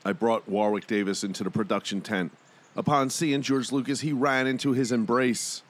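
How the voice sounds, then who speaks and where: A man's voice: mid-range voice, fairly even intonation, moderate pace. A man hosting a podcast and narrating a scripted first-person story in a dramatic storytelling manner.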